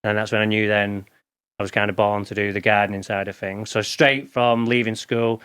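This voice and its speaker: A man's voice: on the low side, flat delivery, brisk, fairly bright tone, clean tone. Lancashire man telling candid stories. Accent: Lancashire English. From England. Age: 40s. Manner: rambling, candid storytelling with restarts. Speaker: guest. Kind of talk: casual interview chat.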